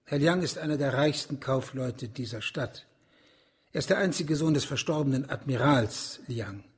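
A man playing a deferential high official in a radio crime drama, speaking careful, courtly with a gravelly voice. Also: expressive, normal speed. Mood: deferential, explanatory. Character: deferential high official, a provincial governor reporting upward.

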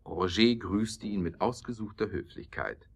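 Low-pitched male story narrator. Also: measured pace, gravelly. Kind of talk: scripted audio drama narration.

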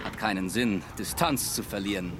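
A man's voice: on the low side, moderate pace, natural voice. A man playing a proud, condescending sea captain in his thirties, giving clipped orders in a radio play. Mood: businesslike. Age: 30s. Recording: clear background noise, vehicle-like ambience.

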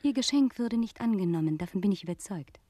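A woman's voice: mid-range voice, expressive, fast talker, warm tone, dry recording, lightly acted. An actress in a German radio play voicing a scheming, worldly noblewoman with a theatrical, insinuating manner. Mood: arch warning. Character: scheming aristocratic French lady, cool, worldly, feigning friendship. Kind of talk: German radio drama acting.

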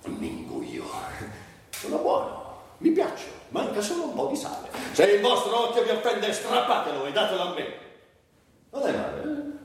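A bitter, self-mocking rebel in a stage monologue. His voice swings wildly from low murmurs to high outcries. It is heard from far off in a very echoey space. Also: huge pitch swings, moderate pace, full character voice. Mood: grotesque relish. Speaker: stage actor. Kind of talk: theatrical dramatic monologue adapted from a novel. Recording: very echoey stage, distant mic, noisy.

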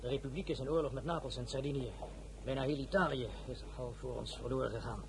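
An actor playing a subdued army officer, hesitant and deferential, reporting in a radio drama. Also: male voice, medium pitch, fast talker, gravelly, a little hiss or hum, close to an everyday voice, rough recording. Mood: subdued, grave. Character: subdued French army officer bringing bad news.

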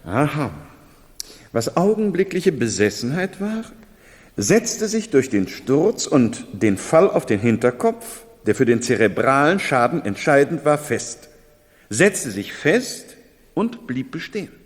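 An actor playing a pedantic, uneasy doctor in a 1970s German radio play, learned and hesitant. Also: male voice, medium pitch, very animated, slow. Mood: lecturing. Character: pedantic, uneasy male doctor lecturing on madness. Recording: reverberant 1970s radio drama recording.

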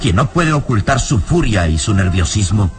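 A man's voice: on the low side, very animated, normal speed. A man narrating a vintage radio serial in a dramatic, scripted storytelling style. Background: music.

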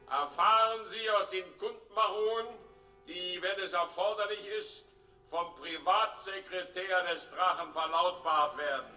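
Shrill, officious decree crier. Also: male voice, bright, forceful tone, exaggerated voice. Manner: stern, declamatory, formal. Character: officious male crier reading out a strict decree. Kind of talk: 1960s German radio play proclamation. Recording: echoey and distant.

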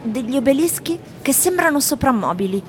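An Italian-accented actress playing opinionated foreign tourists giving their impressions in a scripted radio theatre piece. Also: female voice, high voice, expressive, a little fast, slight background noise. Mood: wry. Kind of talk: scripted dramatic monologue of interview answers.

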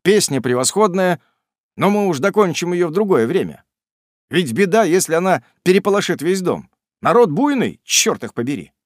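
A man's voice: sits high, sing-song, normal speed, dry recording, studio quality, voice pushed up. A man doing a flustered anxious young lodger. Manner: flustered, placating.

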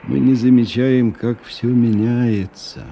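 An actor playing a weary, disillusioned middle-aged man thinking aloud in a radio drama, with halting, ruminative delivery. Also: medium pitch, fairly even intonation, creaky, mellow. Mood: brooding. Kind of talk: radio drama interior monologue. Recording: 1990 radio recording with background noise.

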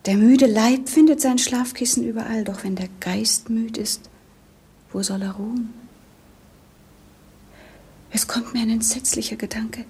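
Very breathy, melancholy young princess. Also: female voice, on the high side, steady tone, unhurried, pauses often, heavily acted. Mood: sorrowful. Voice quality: breathy, hushed, near-whisper. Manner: poetic, wistful. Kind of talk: 1950s German radio drama acting.

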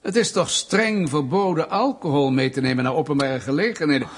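An actor playing a smug, menacing state official in a Dutch radio play, mock-polite, pedantic and insinuating. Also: male voice, fairly high pitch, a little fast, husky. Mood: sly, mock shocked.

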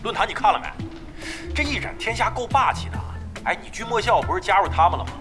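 Brash, swooping, foul-mouthed gamer boss. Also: male voice, high-pitched, big pitch swings, fairly quick, over music. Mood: grumbling banter. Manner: blustery, grumbling, swears freely. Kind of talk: Chinese audio drama acting.

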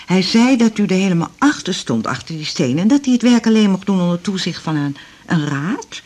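Lively, husky, chatty patient. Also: female voice, fairly low pitch, fairly quick. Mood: naive, rambling. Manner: rambling, matter-of-fact complaining. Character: naive, chatty, gullible female patient. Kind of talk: radio drama dialogue.

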